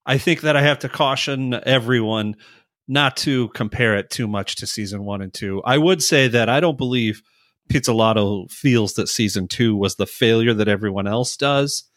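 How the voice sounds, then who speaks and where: A man's voice: medium pitch, normal speed, a bit bright, dry recording, clean studio sound. A man co-hosting a podcast, reasoning aloud through his opinions in casual discussion. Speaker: podcast host.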